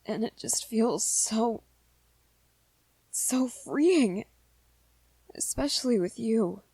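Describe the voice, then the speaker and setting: A woman voicing a gentle, lovestruck young vampire man in a romantic ASMR roleplay, earnest and hesitant, with small stammers. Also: on the high side, expressive, extremely slow, slightly rough, no room sound, heavily acted, low-quality recording. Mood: excited, wistful.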